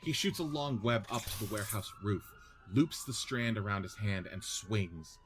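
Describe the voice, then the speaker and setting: A man's voice: lowish voice, expressive, moderate pace. A man narrating screenplay scene directions as a podcast host and reader. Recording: faint background noise.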